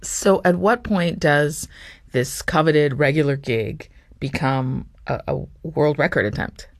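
An interview host with a conversational, encouraging way of putting halting questions. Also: medium pitch, no echo, studio quality.

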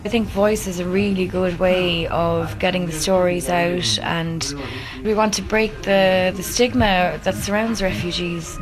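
A woman's voice: on the low side, fairly even intonation, unhurried, low-quality recording. A woman advocate speaking earnestly and persuasively in an interview. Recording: music underneath.

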